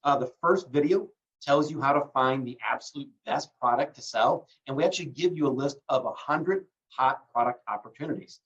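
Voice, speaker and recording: A man's voice: medium pitch, fairly quick, few pauses. A man hosts a live online call in a loose, filler-heavy way while recovering from bronchitis. He sounds set back from the mic. The audio is dull and lo-fi. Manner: relaxed, many fillers, promotional. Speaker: host. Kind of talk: livestream call hosting. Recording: distant muffled lo-fi call audio.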